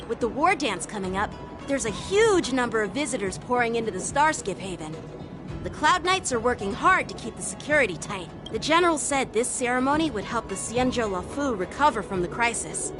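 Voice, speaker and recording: A woman voicing an eager, chatty teenage boy swordsman in a video game, speaking politely and earnestly. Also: a little high. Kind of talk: English video game voice acting. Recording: game music behind the voice.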